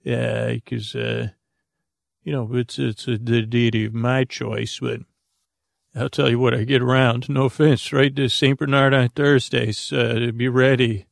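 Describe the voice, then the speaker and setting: A man hosting a bedtime podcast with a creaky voice, rambling in a halting, goofy, improvised monologue that meanders into tangents. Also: mid-range voice, level tone, no room sound, studio quality. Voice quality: gravelly, creaky. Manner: goofy, whimsical. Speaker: podcast host.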